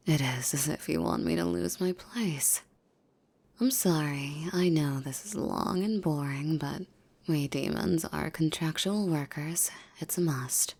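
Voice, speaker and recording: Woman voicing a female demon, seductive, menacing, formal, breathy whispers, slow delivery, gravelly fry, audio roleplay. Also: deep voice, warm tone, heavily acted. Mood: formal, impatient. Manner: formal, commanding, coaxing, often slipping into breathy whispers. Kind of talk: roleplay ASMR acting, intimate monologue.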